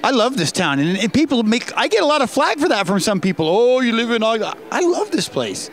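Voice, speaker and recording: A man's voice: extremely high pitch, sing-song, a little fast. A man interviewing people with a handheld mic in a busy theater lobby, joking and teasing as he goes. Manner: joking, self-mocking banter. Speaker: roving interviewer. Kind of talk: jokey street interview. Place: crowded theater lobby, indoors.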